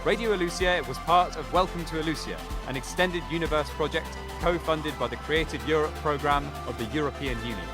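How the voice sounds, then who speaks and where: A man's voice: on the high side, fairly quick, hardly any pauses, music in the background. A man reading scripted podcast credits as an announcer.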